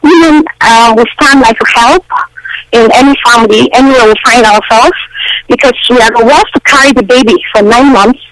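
A woman from Nigeria calling into a radio show, talking in halting bursts with many fillers over a phone line. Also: on the high side, a bit bright, no echo. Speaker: radio call-in caller. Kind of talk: phone-in chat.

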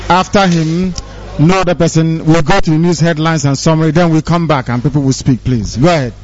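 A man's voice: fairly high pitch, steady tone, fairly quick, darkish tone. A man hosting a live radio broadcast in a formal, emphatic, oratorical way. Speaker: radio host. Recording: dry, slightly dull radio sound.

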